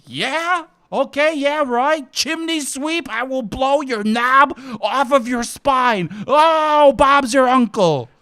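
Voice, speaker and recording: A man voicing an easygoing digital cartoon panda who is quick to rant, with halting, exclamatory delivery. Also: very high-pitched, pushed and bright, clear, resonant tone, voice pushed up, heavily acted. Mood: enraged. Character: excitable digital cartoon panda, easygoing until ranting with rage. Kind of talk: animated comedy table read.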